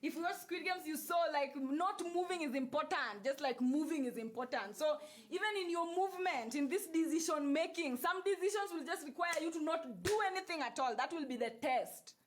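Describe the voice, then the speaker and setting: High-voiced Kenyan woman on a livestream. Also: fairly quick, few pauses. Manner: repetitive, emphatic, switches into Swahili and Luo phrases. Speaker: livestream host. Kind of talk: casual spiritual talk to live viewers.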